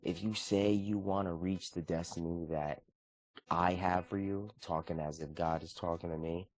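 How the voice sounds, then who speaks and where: A man's voice: low voice, moderate pace, no echo. A man in his thirties talking casually and earnestly about his morning routine and faith. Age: 30s. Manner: earnest, relaxed, conversational with frequent restarts. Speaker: vlogger.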